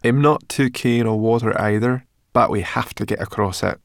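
A man's voice: on the low side, normal speed, vocal fry, no room sound, clean studio sound, voice pushed up. A man voicing a tiny, nervous male homunculus in a storybook, fretful, giggly and servilely polite. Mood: uneasy.

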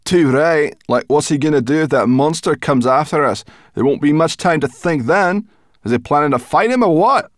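A man's voice: very high voice, very animated, moderate pace, vocal fry, few pauses, a bit bright, dry recording, clean studio sound, voice pushed up, full character voice. A man voicing a grumpy, sarcastic female brownie, blunt and growling, in a storybook reading. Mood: grumbling. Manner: growling, muttering, cross. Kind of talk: storybook character acting in audiobook.

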